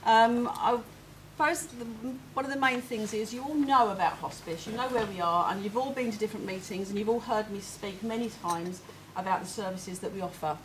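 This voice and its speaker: A woman with a big, carrying voice talks in a chatty, familiar way, like someone updating supporters informally. Her pitch is average, and there is clear noise in the room behind her. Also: brisk. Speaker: hospice fundraising representative. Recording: noisy room ambience.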